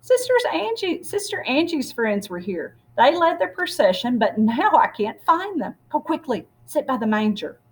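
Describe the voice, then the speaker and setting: A woman voicing a flustered, kindly village priest in a storybook, excited and hurried. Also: high voice, slightly rough, pitched above the performer's own voice, heavily acted. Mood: flustered, urgent.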